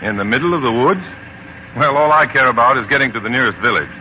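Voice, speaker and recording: An actor playing a brisk, impatient American newspaperman in a 1940s radio drama. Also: mid-range voice, a little hiss or hum, natural voice. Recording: muffled 1940s radio recording.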